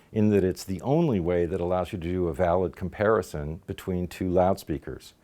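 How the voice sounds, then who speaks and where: A man's voice: sits low, darkish tone. A man acting as company spokesman, giving a polished promotional explanation of a product.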